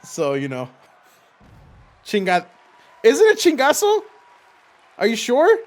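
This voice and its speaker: A young man hosting a game stream, chatting casually and commenting on the play in a slangy way that trails off into quick restarts. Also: extremely high pitch, huge pitch swings. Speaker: streamer host.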